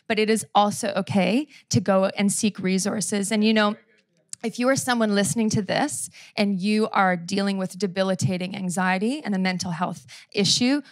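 A woman in her thirties with a Canadian accent, preaching on a church stage into a handheld mic, conversational and self-deprecating, slowing down to read scripture. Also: medium pitch, no echo, clean studio sound. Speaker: pastor. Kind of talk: sermon. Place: church stage before a seated audience. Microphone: handheld microphone.

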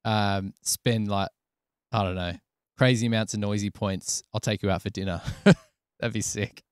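An Australian man chats casually to his livestream. His voice is lowish and clear in a dry studio sound, and he rambles along with slang and jokes. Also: steady tone, normal speed, dry recording. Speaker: live streamer, sound editor. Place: small indoor studio. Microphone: close desk microphone.

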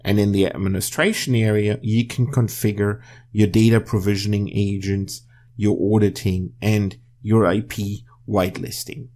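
A man presenting a software tutorial as screencast narration, explaining step by step in a measured way. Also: fairly low pitch, little pitch movement.

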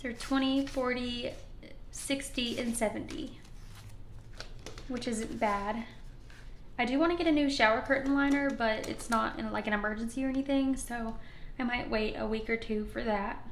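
Woman, slightly higher voice, slightly scratchy, chatty and upbeat, counting bills aloud, echoey room. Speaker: budgeting channel host. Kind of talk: casual talk to camera while counting cash. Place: indoors at a wooden table.

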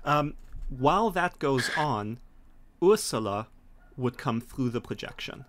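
A man's voice: mid-range voice, expressive, slow pace, fairly bright tone. Middle-aged man narrating a tabletop game. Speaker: game master. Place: indoor home study, video call.

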